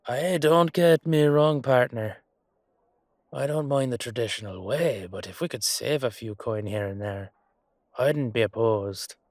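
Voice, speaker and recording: A man's voice: mid-range voice, a little slow, a little mellow, dry recording, studio quality, lightly acted. Man acting as a folksy, shrewd fantasy knight. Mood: probing. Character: male knight, genial, shrewd negotiator on royal business. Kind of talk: fantasy audio roleplay acting.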